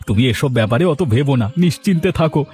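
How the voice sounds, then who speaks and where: A man's voice: medium pitch, expressive, quick pace, a little mellow, soft background music, close to an everyday voice. A man playing a boastful, self-serving landlord's henchman chatting at home in a cartoon, sounding smug. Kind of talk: Bengali cartoon dialogue acting.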